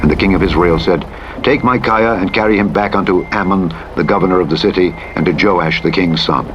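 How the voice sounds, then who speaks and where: Deep-voiced man reading scripture over truck noise. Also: highs cut off. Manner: measured, formal, dramatic reading. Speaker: audiobook Bible narrator. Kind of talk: solemn scripted scripture narration. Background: truck engine and vehicle sounds from driving simulator game.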